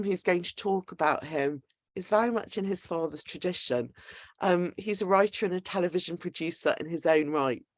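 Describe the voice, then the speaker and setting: Low-voiced woman introducing a speaker. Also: darkish tone, no echo, studio quality. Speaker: host introducing a guest speaker. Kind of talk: semi-scripted event introduction.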